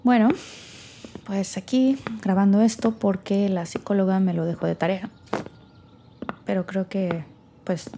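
A woman's voice: mid-range voice, steady tone, unhurried, creaky, pauses often, mellow, slight background noise. A woman with a Mexican accent acting a resentful young woman who hesitantly and sarcastically talks to herself in an audio drama. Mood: self-conscious. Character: wry, resentful young woman recording her thoughts alone. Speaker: lead actor in audio drama. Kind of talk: naturalistic audio drama monologue.

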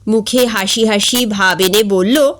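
A woman reading a comic story aloud in an expressive, deliberate narrating style. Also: mid-range voice. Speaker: story reader.

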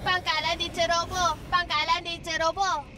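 An actor playing a small robot minion in a children's cartoon, shrill, frantic and repetitive. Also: level tone, moderate pace. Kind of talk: children's animated series acting.

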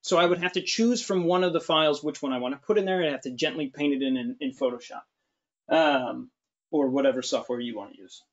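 30s guy hosting a photo webinar, a bit higher voice, laid back with lots of ums, muffled audio. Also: fast. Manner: relaxed, joking, lots of fillers. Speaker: webinar host. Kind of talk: webinar discussion. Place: studio with large screen.

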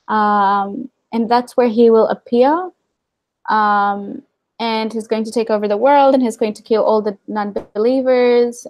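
A woman's voice: a little high, a little mellow, no room sound. A young woman speaking as a guest in a casual video-call interview from her living room, candid and wry. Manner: candid, wry, many fillers and asides. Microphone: video call webcam.

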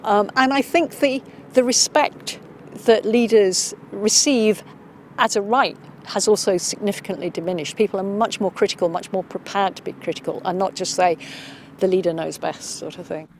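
A woman in her sixties answers interview questions outdoors on a campus lawn. She speaks in a thoughtful, measured way with small pauses and a mid-range voice, and faint outdoor noise is heard behind her. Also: medium pitch, darkish tone. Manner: thoughtful, measured, a little hesitant. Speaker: interviewee, leadership expert.

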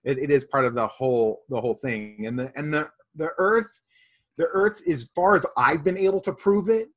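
A man playing an earnest flat-earth conspiracist. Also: a little high, normal speed, muffled recording. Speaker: guest. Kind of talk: improvised comedy character.

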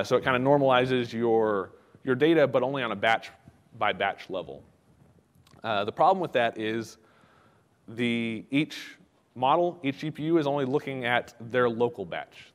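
A man giving a technical conference talk in a casual, halting way, with many fillers and restarts. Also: medium pitch, long reverb tail. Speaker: conference speaker. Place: conference hall.

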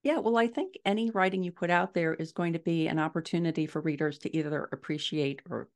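Woman, middle-aged, measured delivery, explanatory, conversational podcast guest, home video call. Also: deep voice. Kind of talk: conversational interview answers, explaining. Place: small furnished room at home, indoors.

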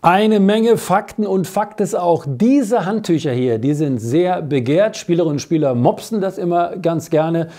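A middle-aged man hosts a sports web show. His voice sits a bit high for a man and is mellow and relaxed. He talks in a chatty, joking way, straight to the viewers. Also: normal speed, hardly any pauses. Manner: colloquial, jokey, addresses viewers directly. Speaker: host of a weekly tennis show. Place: small cluttered basement studio.

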